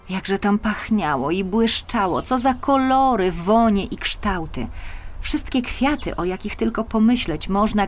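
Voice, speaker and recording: Female children's audiobook narrator: slightly low pitch, measured, expressive storytelling. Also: slight background noise. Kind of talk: scripted children's fairy-tale narration.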